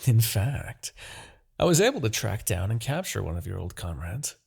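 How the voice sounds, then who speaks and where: A man playing a smug, menacing demon king, obsessed with his rival, in a theatrical, deliberate fantasy roleplay monologue with a husky, gravelly voice. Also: lowish voice, very animated, a little mellow, exaggerated voice. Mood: gloating, menacing. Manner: theatrical, gloating, deliberate, grandiose.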